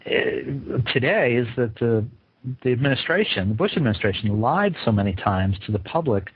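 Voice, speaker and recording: A male interview host with a husky, slightly rough voice at an ordinary pitch. He delivers introductions and questions in a close, dry sound. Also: no room sound, phone-quality sound.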